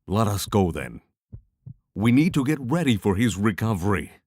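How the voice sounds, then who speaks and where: A man playing a steady, practical, protective adventuring companion in a fantasy audio drama. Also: on the low side, normal speed, no room sound, studio quality. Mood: matter-of-fact.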